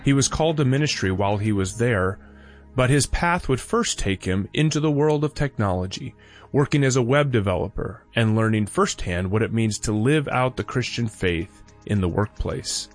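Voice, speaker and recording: A man's voice: medium pitch, animated, moderate pace, faint music behind. A man hosting a radio show, reading a scripted introduction.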